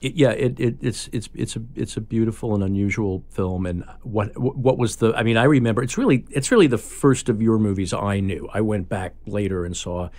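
A man hosting a film podcast, asking questions in a halting, restarting way. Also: on the low side, a little mellow. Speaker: podcast host. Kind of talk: interviewer chat.

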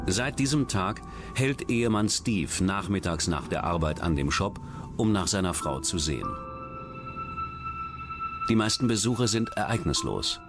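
Man, low lively narration, true-crime documentary, loud music underneath.

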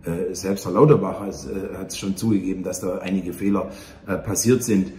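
A man in his fifties talking earnestly and haltingly in a casual interview. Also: low voice, steady tone, dark tone. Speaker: theatre operator, interview guest. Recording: echoey room, faint ambient noise.

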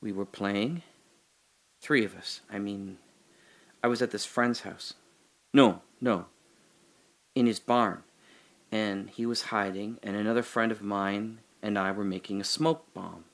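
A man's voice: fairly low pitch, steady tone, measured pace, pauses often. An actor playing a man alone in a holding cell who talks through his doubts about his memories in an audio drama monologue, musing and questioning, turning from wry to earnest. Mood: recalling.

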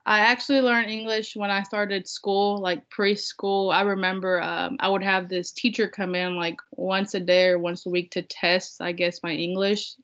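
A woman's voice: mid-range voice, flat delivery, moderate pace, few pauses, a bit bright. A young woman, a native Spanish speaker, chatting casually and a bit hesitantly as a guest on a video call. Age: young adult. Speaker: seminar guest. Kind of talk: casual conversation. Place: indoor room on a video call. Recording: dull, lo-fi video call audio.

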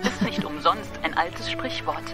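A woman's voice: on the low side, animated, a little slow. A woman voicing a talking time machine that gives factual explanations in an educational audio drama. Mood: informative. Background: loud music.

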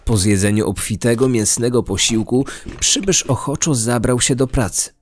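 A man narrating a scripted true-crime story in a measured, serious way. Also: medium pitch. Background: background music.

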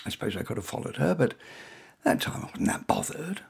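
A middle-aged man with a gravelly, husky voice reads his own story aloud. His delivery is dry and wry, conversational, with asides and small hesitations. His pitch moves around a lot. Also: big pitch swings, fairly quick. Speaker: author reading his own short story. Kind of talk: first-person story narration.

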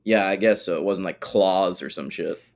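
A man's voice: deep voice, normal speed, darkish tone. A man co-hosting a podcast, bantering informally and conversationally about TV episodes. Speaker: podcast co-host.

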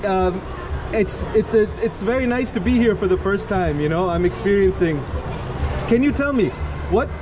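A man's voice: sits high, expressive, normal speed, noisy background. A man in his thirties with an American accent, chatting upbeat and laughing often as a travel vlogger, filmed with a handheld camera in a large indoor mall. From America, family from Pakistan. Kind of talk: casual vlog chat with strangers. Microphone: handheld camera at arm's length. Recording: busy mall with crowd and music.

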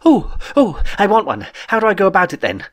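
A man's voice: sits high, wildly swooping pitch, brisk, no echo, studio quality, pitched above the performer's own voice, heavily acted. A man voicing a childish, greedy young evil overlord who screeches his orders. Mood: giddy. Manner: screeching shouts, giddy whining.

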